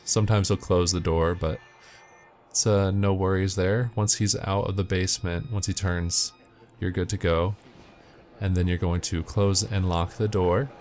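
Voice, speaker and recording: A man's voice: deep voice, a little mellow, a little hiss or hum. A man giving matter-of-fact, step-by-step instructional commentary as he narrates a video game walkthrough.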